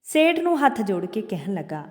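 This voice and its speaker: A woman narrating a moral folk tale as a storyteller, delivering it deliberately, phrase by phrase with pauses. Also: mid-range voice, sing-song. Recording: long hall-like reverb.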